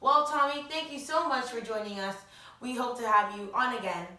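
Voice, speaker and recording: A woman's voice: fairly high pitch, fairly quick, pushed and bright. A young woman acting as a chirpy, theatrical 1920s radio show host who uses old-time slang. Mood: gracious, chatty. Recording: roomy, distant mic, faint noise.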